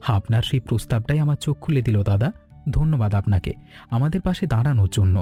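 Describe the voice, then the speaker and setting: Guy acting earnest village hotel owner in a cartoon, really bright sharp voice, polite, music behind. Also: medium pitch, fast talker, natural voice. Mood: grateful. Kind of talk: Bengali moral-story cartoon dialogue.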